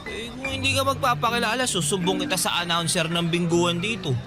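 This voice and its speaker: A man's voice: high voice, steady tone, brisk, natural voice. A man playing a friendly, cheeky teenage fairground boy in a radio drama, with casual teasing delivery. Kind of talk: Tagalog radio drama acting. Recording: background music, outdoor traffic sounds.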